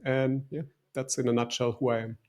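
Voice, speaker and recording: A man's voice: medium pitch, little pitch movement, a little fast, darkish tone. A middle-aged man from Germany with a German accent, a life coach answering interview questions in a reflective, somewhat hesitant way.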